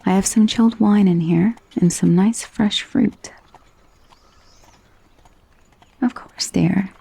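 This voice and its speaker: A gentle, possessive vampire noblewoman, acted by a woman in an ASMR audio roleplay. Her voice is soft and mellow with a lot of breath in it, often sinking to a whisper. She soothes in a genteel, coaxing way, very close to the mic in a dry, echoless space. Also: medium pitch, measured pace, gravelly, husky. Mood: soothing, welcoming. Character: gentle, wealthy, possessive female vampire mistress, centuries-old undead. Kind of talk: ASMR roleplay, intimate soft-spoken monologue. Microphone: close, dry microphone.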